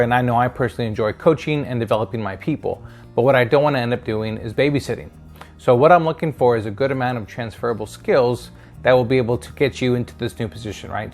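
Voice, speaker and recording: A middle-aged man presenting instructional advice straight to viewers, explaining step by step and checking in with short tag questions. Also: mid-range voice. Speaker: career advice video host, sales hiring manager.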